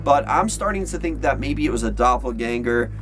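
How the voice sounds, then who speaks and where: A man hosting a video in an animated, informal, slangy way, chatting upbeat to his viewers. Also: mid-range voice, a little fast, faint music behind. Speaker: video host and storyteller. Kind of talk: upbeat vlog chat to viewers.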